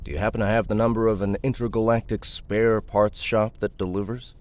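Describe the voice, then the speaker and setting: An actor playing a gruff, confident mechanic in an audiobook drama, talking in a blunt, wry, offhand way. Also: male voice, on the low side, little pitch movement, brisk, darkish tone, natural voice. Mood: offhand appraisal.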